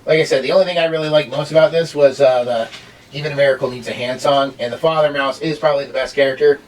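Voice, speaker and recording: A middle-aged man giving a casual, rambling review in a halting way, as a host. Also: medium pitch, fairly even intonation, a little hiss or hum. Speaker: amateur reviewer hosting with a child. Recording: distant mic, home recording.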